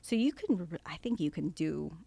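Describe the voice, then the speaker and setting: A woman's voice: low voice, expressive, moderate pace, vocal fry, dark tone, no echo. A young woman from Venezuela who grew up in San Francisco, a law student chatting casually in an interview, laughing easily and using fillers. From Venezuela, raised in San Francisco.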